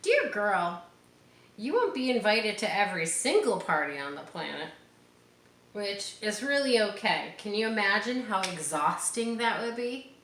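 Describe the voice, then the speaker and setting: A woman reads a children's picture book aloud at a slow, unhurried pace. She stretches key words expressively and adds encouraging, chatty explanations for young listeners. The room echoes around her voice, with a little background noise. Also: medium pitch, big pitch swings, fairly bright tone. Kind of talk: read-aloud storytelling with chatty asides. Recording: roomy echo with background noise.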